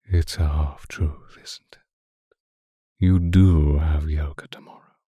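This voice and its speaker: A man's voice: dark tone, no room sound, heavily acted. A man playing an obsessive, predatory vampire in an ASMR roleplay, speaking intimately with menacing courtesy and often slipping into a whisper. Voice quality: very deep, husky, often breaking into whisper. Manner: slow, intimate, menacingly courteous, drawn-out phrases. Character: obsessive, predatory male vampire stalking his beloved.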